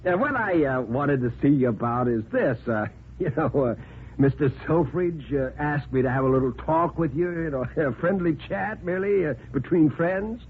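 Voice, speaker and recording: On a tinny old radio recording comes an oily male office colleague, pitched high and swooping. He hems and titters with false chumminess in a self-righteous 1950s radio-drama manner. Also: animated, moderate pace, slight background noise. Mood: nervously ingratiating. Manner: hesitant, giggly, oily hemming. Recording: tinny band-limited vintage radio recording.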